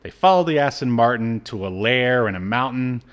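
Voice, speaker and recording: A man's voice: medium pitch, lively intonation, moderate pace, muffled recording. A man co-hosting a podcast, giving a casual, comic recap with incredulous, mocking asides. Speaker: podcast co-host.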